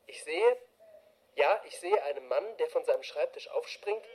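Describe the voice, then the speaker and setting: An actor in a radio play voicing an eager male interviewer who describes the scene on the spot in a lively, observational way. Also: a little high, normal speed, lightly acted. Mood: excited. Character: eager on-the-spot interviewer narrating what is seen. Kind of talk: radio play, taped reportage commentary. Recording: loud cheering crowd noise. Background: cheering office crowd.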